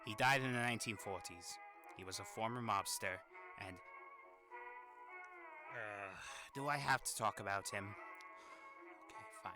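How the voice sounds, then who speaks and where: A man's voice: fairly low pitch, measured pace, pauses often. A man voicing a weary, irritable, sardonic demon hotel resident in a fan-made animation monologue, with grumbling, exasperated delivery. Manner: grumbling, exasperated, sighing asides. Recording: voice over old phonograph music.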